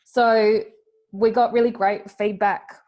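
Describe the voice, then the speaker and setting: A woman's voice: mid-range voice, flat delivery, slow, muffled recording. A woman speaking as a startup founder on an online panel, in a casual, chatty storytelling style. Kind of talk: casual spoken anecdote.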